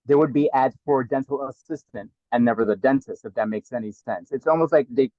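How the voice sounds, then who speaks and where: New guy in his middle years, thinks out loud, repeats himself, dead dry muffled call audio. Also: mid-range voice, brisk, no room sound. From New York (South Bronx). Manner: earnest, reflective, repeats words as he thinks. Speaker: guest. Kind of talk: casual interview talk.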